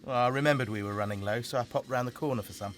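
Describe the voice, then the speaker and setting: A man's voice: mid-range voice, rapid-fire, lightly acted. An actor playing a cheerful, kindly male council funeral officer in an audio drama, friendly and slightly hesitant. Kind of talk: naturalistic audio drama acting.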